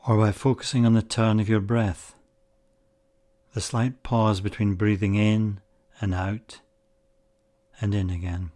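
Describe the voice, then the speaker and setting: A man leading a guided meditation, speaking in measured, deliberate short phrases with pauses between them. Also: on the low side, flat delivery, warm tone. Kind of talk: guided meditation, slow spoken instruction.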